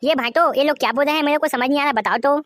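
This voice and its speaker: A woman as a high-pitched gushing city girl. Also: rapid-fire, fairly bright tone. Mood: puzzled. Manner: girlish, mixes in English. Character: gushing city-bred rich daughter from Mumbai. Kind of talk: cartoon dialogue.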